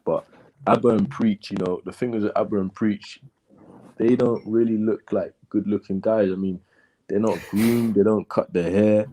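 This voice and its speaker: Deep-voiced man, blunt and hesitant. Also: steady tone, moderate pace, dark tone, low-quality recording. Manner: hesitant with restarts, then blunt and mocking. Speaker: podcast co-host. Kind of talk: casual chat.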